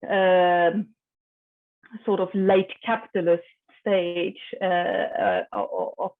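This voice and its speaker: A woman's voice: fairly low pitch, sing-song, slow. A woman speaks as a panelist over a video call, and the audio sounds tinny and phone-like. She thinks aloud and often stops to restart her sentences. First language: Afrikaans. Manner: thinking aloud, hesitant with repeated starts.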